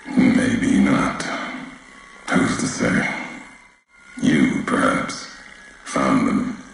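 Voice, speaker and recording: An actor playing a grim, weary wasteland courier in a video game, speaking in solemn, cryptic, clipped phrases with a gravelly voice. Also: male voice, rumbling low, heavy reverb, slight background noise, exaggerated voice, low-quality recording. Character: grim, weary, brooding male wasteland courier, philosophical and menacing. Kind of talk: scripted video game character voice lines.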